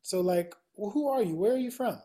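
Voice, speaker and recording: A woman's voice: medium pitch, expressive, fairly quick, dark tone. A woman hosting a talk show, chatting casually with her guests and often restarting her questions. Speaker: podcast host. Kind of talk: casual interview chat.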